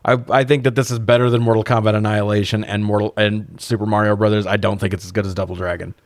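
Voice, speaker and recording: A man's voice: on the low side, level tone, quick pace, no echo. A man co-hosting a podcast in a casual film review chat, opinionated and joking, with some swearing. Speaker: podcast co-host.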